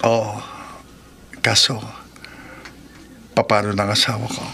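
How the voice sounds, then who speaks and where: A man's voice: fairly low pitch, monotone, slow, vocal fry, sharp tone, a little hiss or hum, heavily acted. A man playing a weak-willed, bewitched husband in a radio drama, hesitant and given to murmuring. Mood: smitten. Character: weak-willed, bewitched husband torn between two women.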